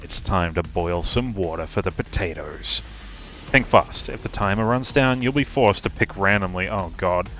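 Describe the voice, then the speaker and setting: A man's voice: low voice, moderate pace, fairly bright tone, soft background music. A man reading game narration aloud on a livestream in a playful, theatrical storytelling manner.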